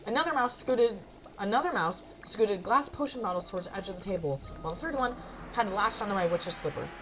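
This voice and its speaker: A woman's voice: mid-range voice, animated, fairly quick, noisy background, natural voice. A woman voicing a witch's cat apprentice, a wry, self-deprecating narrator reading a visual novel expressively. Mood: dramatic. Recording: faint music behind.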